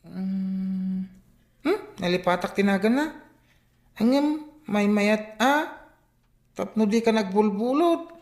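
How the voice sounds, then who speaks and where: An actress playing a talkative tattletale child with an Ilocano accent in a radio comedy drama, hesitant and questioning. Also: female voice, medium pitch, expressive, slow pace, pauses often, a little mellow, reverberant. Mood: hesitant, evasive. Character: talkative tattletale young child speaking to mother.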